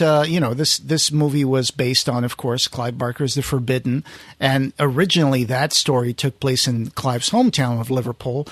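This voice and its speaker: A man's voice: mid-range voice, a bit bright, no echo. A man hosting a podcast, casually recounting film history in a conversational storytelling way and quoting people as he goes. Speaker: podcast host.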